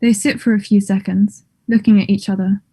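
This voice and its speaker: A woman's voice: medium pitch, flat delivery, a little fast, mellow, breathy edge. A woman reading stage directions as scripted narration.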